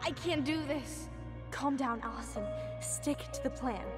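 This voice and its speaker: A distressed young woman's inner voice in a game drama, pitched high. She sounds anxious and pleading, washed in long reverb with loud music underneath. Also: a little slow. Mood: panicked, pleading. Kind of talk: emotional video game acting.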